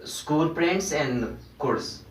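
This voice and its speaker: A man's voice: on the high side, expressive, extremely slow, slight background noise. A young man with a Pashto accent, answering in hesitant, simple English in a classroom practice. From Afghanistan. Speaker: student. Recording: echoey room, distant mic.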